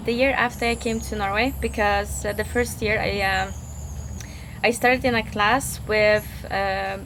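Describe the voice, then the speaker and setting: A young woman from Poland, Polish-accented, answers questions in an interview at a middling pitch. She talks hesitantly, full of fillers and restarts. Faint background noise sits under her voice. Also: a bit bright. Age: 20s. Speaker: guest. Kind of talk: casual interview.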